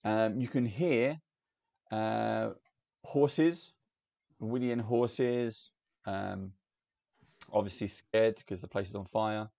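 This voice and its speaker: Gravelly-voiced man running a tabletop game. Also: fairly low pitch, takes it slowly, long pauses. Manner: relaxed, meandering narration with fillers. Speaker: game master. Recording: dull lo-fi audio, faint hiss.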